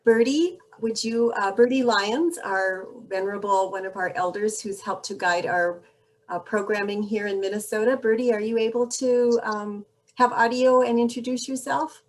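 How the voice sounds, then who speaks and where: A woman hosting an online meeting over a video call, introducing each guest warmly and graciously. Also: mid-range voice, normal speed. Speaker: meeting host.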